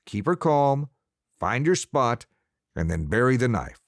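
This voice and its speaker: A man doing a gruff harsh farmer father. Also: medium pitch, big pitch swings, measured pace, no echo, studio quality. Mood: stern. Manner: gruff, cursing, commanding. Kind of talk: audiobook character voice.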